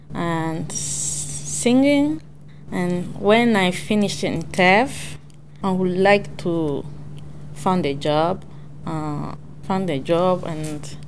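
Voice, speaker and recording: A woman speaks slowly and haltingly, often stopping to search for her words. Her voice sits fairly low, with a faint hiss of background noise. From Congo. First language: French. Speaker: adult English learner. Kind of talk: personal introduction.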